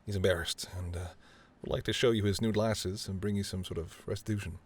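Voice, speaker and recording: A man's voice: lowish voice, steady tone, a little fast. A man playing a charming, teasing mafia boss who is smitten, speaking intimately and playfully to the listener in a roleplay audio drama. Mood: apologetic, chatty. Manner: smooth-talking, playful, flirtatious, addresses listener as doll. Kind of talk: intimate second-person roleplay audio acting.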